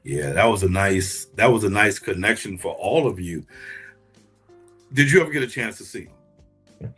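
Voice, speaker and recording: A man hosting a conversational interview, asking questions deliberately and often rephrasing them. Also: on the low side, animated, a bit bright, breathy edge, soft background music. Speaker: podcast host, interviewer.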